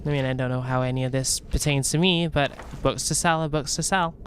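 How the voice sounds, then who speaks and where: A man's voice: mid-range voice, steady tone, fairly quick, a little hiss or hum. Welsh man chatting while gaming. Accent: toned-down Welsh. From Wales. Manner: rambling, restarts often, chats with viewers. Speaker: gaming streamer. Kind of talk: casual gameplay chat.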